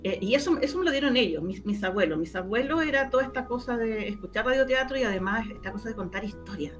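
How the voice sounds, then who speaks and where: A woman's voice: fairly high pitch, lively intonation, fast, faint music behind. A middle-aged woman with a Chilean accent from the O'Higgins region, reminiscing casually as an interview guest, often repeating her words as she tells stories.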